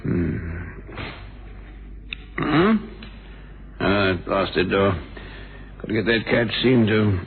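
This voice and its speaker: A man's voice: on the low side, slow pace, pauses often. An actor playing a brusque, preoccupied businessman in a radio mystery, muttering in clipped phrases. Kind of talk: 1970s radio drama acting. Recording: noisy, narrow-band old radio recording.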